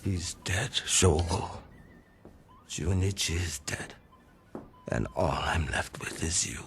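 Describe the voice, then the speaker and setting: A low-pitched older man as a stern crime patriarch in a video game. He speaks formally and with icy contempt, over some background noise. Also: creaky, fairly bright tone, exaggerated voice. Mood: bitter, withdrawn. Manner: cold, formal, deliberate menace. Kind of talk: video game cutscene acting.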